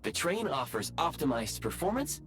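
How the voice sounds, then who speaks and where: A man's voice: a little high, sing-song, normal speed, a bit bright, soft background music. A man voicing an earnest, literal-minded robot gadget in a video game, speaking formally and precisely. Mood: matter-of-fact. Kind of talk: video game character acting.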